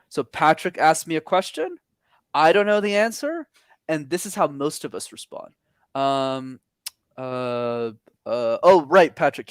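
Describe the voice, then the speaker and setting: A male speaking coach giving advice in an online session, his fairly high voice rising and falling with a lot of animation. He talks with energetic emphasis, and the sound is dry and close, with no room in it. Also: dry recording. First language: not English. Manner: energetic, emphatic, lively pitch swings. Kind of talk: webinar advice talk.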